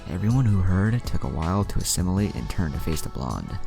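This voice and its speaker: A man narrates fiction in an extremely low voice with a gravelly, creaky edge. His intonation moves around a lot as he reads the story, with music playing behind him. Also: sing-song, fairly quick. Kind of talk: storytelling narration, reading aloud with character dialogue. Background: background music.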